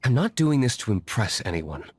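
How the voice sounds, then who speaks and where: An actor playing a brooding, wealthy vigilante in a video game dub, curt and formal with a dry, disdainful edge. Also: male voice, mid-range voice, very animated, moderate pace, slightly rough. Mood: stern. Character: brooding wealthy male vigilante, aloof and stern.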